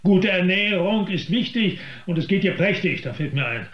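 An actor playing a flustered, gluttonous village doctor in a radio play, whining and excitable. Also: male voice, high voice, moderate pace, reverberant, highs cut off. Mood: brisk, dismissive. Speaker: actor in an audio drama. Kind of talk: theatrical German radio play acting.

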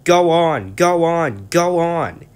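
A man voicing a crowd of silly, noisy freight trucks in a storybook, squealing and shrill. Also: expressive, slow, darkish tone. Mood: mocking.

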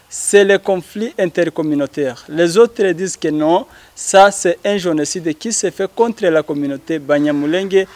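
A man's voice: fairly high pitch, dry recording. A young man, hosting an interview programme into a handheld microphone. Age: young adult. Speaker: interview host. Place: outdoors by a house wall.

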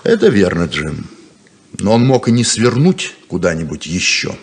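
An actor playing a proud, lonely, guarded deputy sheriff in a 1970s radio play, terse and reserved. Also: male voice, sits low, huge pitch swings, moderate pace, a little hiss or hum, exaggerated voice. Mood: polite, evasive.

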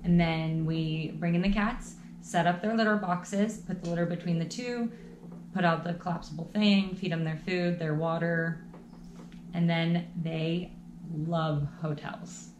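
A woman's voice: on the low side, steady tone, a little slow, a little mellow. A young woman vlogger chatting casually at home, dropping lots of "like" fillers. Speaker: travel vlogger. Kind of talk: casual chat. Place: small room at home, indoors. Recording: echoey room with faint noise.

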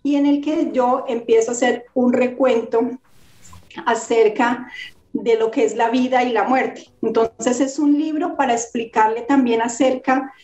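A woman's voice: on the high side, steady tone, a little slow, dark tone. A woman writer from Colombia with a Bogotá accent, speaking earnestly and reflectively as an interview guest over a video call. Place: home room indoors. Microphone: laptop mic on video call. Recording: roomy lo-fi video call audio.

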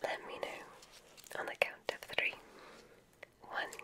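A woman whispering an ASMR roleplay as a gentle witch examiner, giving soothing, instructive guidance. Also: slow.

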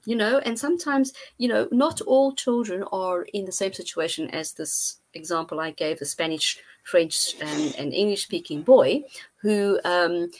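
A woman's voice: on the low side, poor audio. Woman explaining on a video call. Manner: thoughtful, explanatory, hesitant fillers. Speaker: guest researcher. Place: home study with bookshelves. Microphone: earphone mic on video call.

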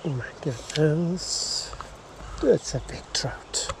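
A man with a slightly high, creaky voice gives casual first-person fishing commentary at a slow pace. He murmurs along and breaks into exclamations. It is recorded on an action camera outdoors, with clear river noise around him. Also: animated. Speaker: angler and vlogger. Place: outdoors, wading in a small river. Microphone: body-worn action camera. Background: running river water.

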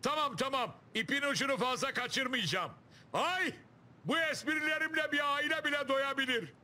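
Very high-pitched, goofy cartoon elephant. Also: male voice, normal speed, loud music behind the voice. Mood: goofy, cheerful. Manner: exaggerated, dopey, self-important. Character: big silly gluttonous male elephant, bumbling self-styled detective.

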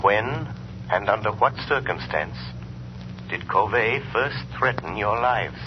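An actor playing a mysterious, commanding invisible crime fighter in formal, deliberate 1930s radio style. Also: male voice, lowish voice, lively intonation. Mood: commanding, ominous. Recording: muffled, noisy 1930s radio recording.

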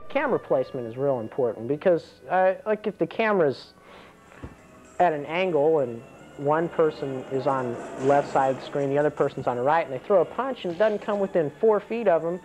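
A man's voice: fairly high pitch, animated, brisk, a little mellow, faint music behind, poor audio. A man explaining things casually as an amateur filmmaker.

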